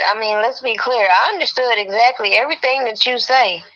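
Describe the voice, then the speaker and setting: Blunt, profane woman with a bright voice. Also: fairly high pitch, fairly quick, dull audio. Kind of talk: casual chat.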